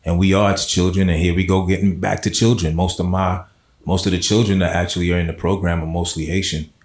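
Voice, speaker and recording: A man's voice: deep voice, level tone, quick pace, reverberant. A man, a poet and spoken word artist, talking casually as an interview guest with a laid-back, rambling manner full of fillers. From South Florida.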